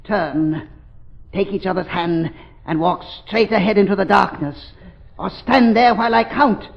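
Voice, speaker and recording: An actor playing a sadistic, gloating murderous doctor in theatrical 1930s radio style. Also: male voice, sits high, unhurried, gravelly. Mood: gloating menace. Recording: muffled old 1930s radio recording.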